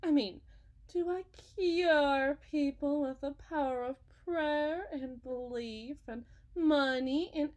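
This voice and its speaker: A woman playing a folksy, grandiose con-artist faith healer in a scripted roleplay, with theatrical sales patter and dramatic pauses. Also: high voice. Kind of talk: scripted ASMR roleplay monologue.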